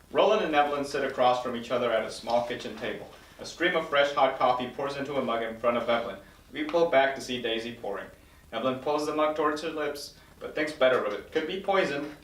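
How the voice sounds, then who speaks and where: A man's voice: mid-range voice, brisk, fairly bright tone, recorded from a distance. A man reading screenplay stage directions as narrator at a table read. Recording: echoey room, slightly off-mic.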